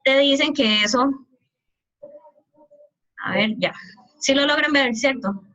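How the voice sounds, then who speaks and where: A woman's voice: high-pitched, slow, sharp tone, dull audio. A woman math teacher giving an online calculus class, explaining step by step and often checking that her students follow.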